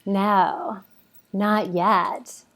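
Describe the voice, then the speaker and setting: Lady doing a lovestruck teen girl for a comedy read, super slow and dreamy, kinda hesitant. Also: mid-range voice, little pitch movement, a bit bright. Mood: smitten, coy. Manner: drawn-out, dreamy, hesitant phrasing. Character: smitten teenage girl, dreamy and forward. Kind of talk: comic table read, celebrity impression.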